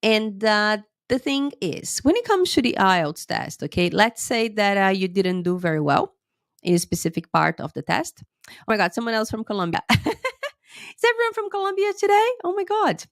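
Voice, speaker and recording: A woman teacher talks through a live-streamed lesson from close to the mic, in a dry room with little echo. She has a slight cold and a sore throat, so she talks a little slowly. She keeps checking in with her viewers. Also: medium pitch, big pitch swings. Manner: chatty, lots of "okay?" checks with viewers. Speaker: English teacher and IELTS tutor.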